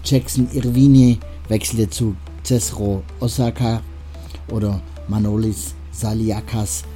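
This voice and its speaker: A man's voice: on the low side, little pitch movement, takes it slowly, mellow. A man hosting a radio show in a chatty, rambling way, with self-deprecating asides between music and sports news. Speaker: radio host. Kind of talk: casual radio moderation.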